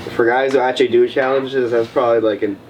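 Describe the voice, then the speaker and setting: A man at a middling pitch joins a relaxed chat with quick asides, chuckling often. He sounds set back from the mic, with a clear noise floor behind him. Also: recorded from a distance, muffled recording. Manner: chuckling interjections. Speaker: co-host friend. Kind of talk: casual chat.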